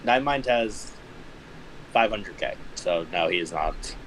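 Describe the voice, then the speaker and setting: A man's voice: on the low side, expressive, slow pace, a loud crowd, rough recording. A man chatting casually on a livestream, blunt and sweary, with hesitant phrasing.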